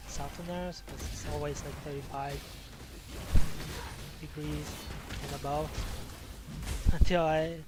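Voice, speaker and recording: A man from northern Vietnam gives casual, rambling, profane gameplay commentary as he streams, halting as he goes. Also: fairly high pitch, steady tone, very noisy. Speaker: live streamer. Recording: game music behind the voice. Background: video game music.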